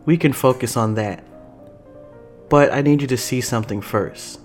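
A man voicing a stern, protective swordswoman and mentor who speaks with forceful care in a story. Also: mid-range voice, normal speed, faint music behind, lightly acted. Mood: calm.

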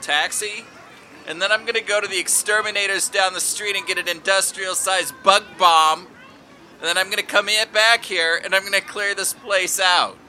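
A man voicing a fed-up, hungover drinker in a tabletop game, complaining haltingly. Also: sits high, steady tone, sharp tone, a little hiss or hum, pitched above the performer's own voice. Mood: grumpy, fed up.

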